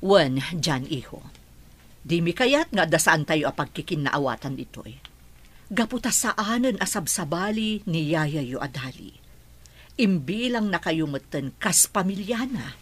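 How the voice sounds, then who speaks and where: A woman playing a lively-voiced household mother. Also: fairly low pitch, a little slow, husky, full character voice. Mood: stern. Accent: Ilocano. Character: middle-aged mother of the house.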